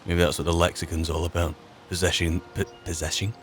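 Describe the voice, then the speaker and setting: A man roleplaying a musing, wry adventurer in a fantasy game, speaking in a halting, dry, reflective way. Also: sits low, level tone, slight background noise, natural voice. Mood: musing, planning. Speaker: player narrating a roleplay. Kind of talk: in-character game roleplay monologue.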